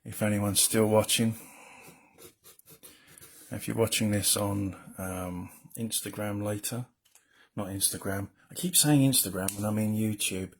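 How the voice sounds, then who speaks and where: A man with a low, mumbling voice gives casual, rambling commentary while drawing on a livestream as a comic colorist, with self-deprecating asides. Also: steady tone, unhurried.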